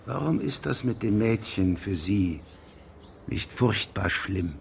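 A stern male judge in a radio drama, with a fairly low voice. He questions formally and insistently, like a man used to being obeyed, over clearly audible background noise. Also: animated, takes it slowly, exaggerated voice. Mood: probing. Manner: formal, authoritative interrogation. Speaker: actor in a radio play. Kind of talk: German radio drama dialogue.

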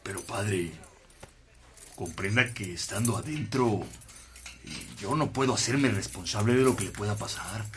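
Un actor de radionovela que interpreta a un director de prisión preocupado y cauteloso. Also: male voice, on the low side, measured pace, slight background noise, natural voice. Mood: worried. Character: worried, cautious male prison director. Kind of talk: radio drama acting.

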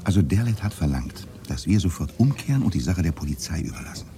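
An actor playing a gruff, wry local man who acts as a reporter's minder, talking in dry, casual mutters and emphatic protests in a naturalistic radio drama. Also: deep voice, lively intonation, fast, dark tone, slight background noise. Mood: confiding.

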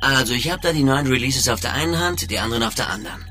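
An actor playing a cocky young male software pirate, telling excited, boastful stories in slang in a radio drama. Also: mid-range voice, quick pace, music in the background, lightly acted.

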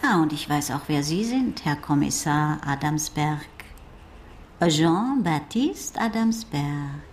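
An actress playing a curious, impulsive oceanographer in a radio crime drama, musing and self-assured in conversation. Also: female voice, low voice, animated, takes it slowly, a little mellow, slight background noise. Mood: frank, musing.

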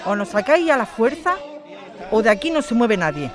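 An actress playing an indignant activist lawyer and daughter in an audio drama, challenging her opponent with forceful questions. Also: on the high side, sing-song, fairly quick, crowd noise. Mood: indignant, defiant. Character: combative activist lawyer daughter defending evicted neighbours.

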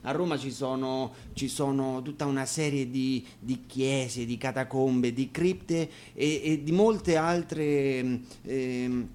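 A man presenting a concert, explaining things in a hesitant, pausing way between songs. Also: medium pitch, steady tone, a little mellow. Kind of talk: spoken introduction between songs.

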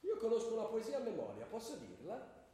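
A woman's voice: fairly high pitch, wildly swooping pitch, brisk, mellow. A woman playing a hapless school pupil being quizzed, in comic radio theatre. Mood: earnest. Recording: echoey hall, distant mic, faint noise.

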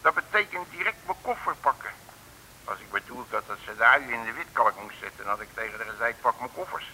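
An actor playing a cocky, folksy detective in an old comic radio serial, talking in a colloquial, blustering way. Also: male voice, animated, fast talker, pushed and bright, slight background noise. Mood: brisk, bossy. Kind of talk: 1950s-60s Dutch comic radio play acting. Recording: old radio recording, boomy reverb.

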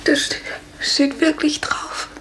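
An actress playing an anxious, sorrowful former dancer, earnest and fragile, in a 1950s radio drama. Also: female voice, slow, a bit bright, whispered. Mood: hushed, moved. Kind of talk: 1950s German radio play acting. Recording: roomy, hissy 1950s radio recording.

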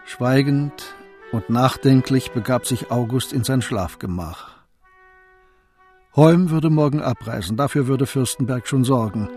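A man's voice: mid-range voice, darkish tone, lightly acted. A man giving composed, literary storytelling narration in a radio drama.

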